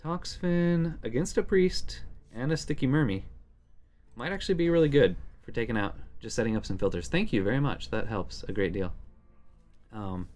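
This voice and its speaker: A man giving casual strategy commentary on a card game, thinking out loud in musing asides. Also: mid-range voice, normal speed. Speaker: game streamer. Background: game music.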